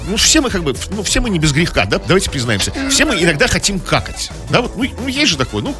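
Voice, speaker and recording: A man co-hosting a comic radio show, riffing in a mock-serious deadpan way. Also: sits high, fast talker, music in the background.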